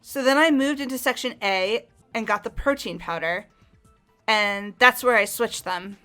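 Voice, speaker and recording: A woman's voice: a little high, unhurried, sharp tone, soft background music. A woman voicing a flustered young female suspect who stammers and gets defensive under questioning. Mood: nervous. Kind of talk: fan voice acting of a game.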